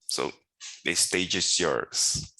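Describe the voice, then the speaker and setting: A man's voice: low voice, extremely slow, creaky, pushed and bright, no echo. A man hosting an online meeting, giving a brief, formal welcome and introducing the coaches through a headset. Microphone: headset on video call.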